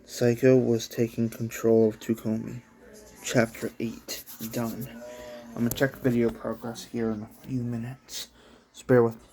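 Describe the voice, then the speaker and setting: Slow, mellow man reading aloud. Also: medium pitch, level tone, low-quality recording. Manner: slow, offhand storytelling. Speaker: channel host reading his own story. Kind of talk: casual read-along narration.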